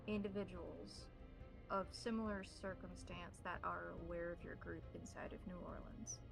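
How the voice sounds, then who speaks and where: A woman narrating a tabletop role-playing game as game master, in measured, formal wording. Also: on the low side, creaky, low-quality recording.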